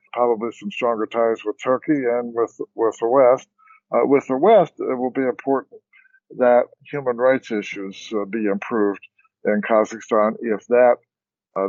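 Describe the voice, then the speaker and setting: An older man, a former ambassador, speaking as a guest on a policy panel in a measured way, pausing often with "uh". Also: lowish voice, a little mellow, dry recording, muffled recording. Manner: measured, many "uh" pauses. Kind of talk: expert panel discussion.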